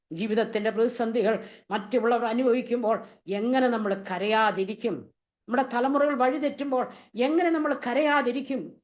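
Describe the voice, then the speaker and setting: Female preacher, fervent and repetitive, slightly high voice, muffled lo-fi recording. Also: darkish tone. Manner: impassioned, repeats rhetorical questions. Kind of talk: sermon. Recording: dull lo-fi recording.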